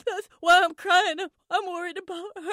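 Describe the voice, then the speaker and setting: Woman acting as a frightened young girl, high bright voice, stammering, defiant, foul-mouthed, dramatic monologue. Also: fairly even intonation, moderate pace, no room sound, clean studio sound. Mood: tearful, panicked. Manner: stammering, repeating herself, foul-mouthed bravado. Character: frightened, defiant young schoolgirl trapped in a car trunk. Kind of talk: dramatic first-person monologue.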